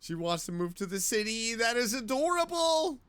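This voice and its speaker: A woman's voice: a little high, big pitch swings, moderate pace, fairly bright tone, a little hiss or hum. A woman giving casual reaction commentary as a VTuber playing a video game. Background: game music.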